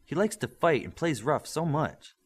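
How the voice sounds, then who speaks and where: A man's voice: on the high side, animated, moderate pace, slightly rough, voice pushed up. A man reading the part of a worried mother, asking anxious questions in a somewhat raised voice. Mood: concerned. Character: worried mother of a superpowered boy.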